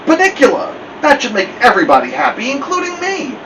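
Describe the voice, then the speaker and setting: A man voicing a brisk, reasonable, placating mother in a children's storybook. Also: extremely high pitch, wildly swooping pitch, voice pushed up, heavily acted. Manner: firm, placating. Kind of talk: children's storybook character reading. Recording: distant, noisy, dull room recording.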